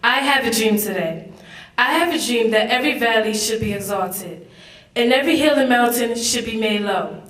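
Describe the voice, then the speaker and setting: Distant, high young female reader. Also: normal speed, a bit bright. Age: young adult. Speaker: student reader. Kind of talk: dramatic reading of a famous speech. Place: large echoey room at a public event. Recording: echoey hall, far from the mic.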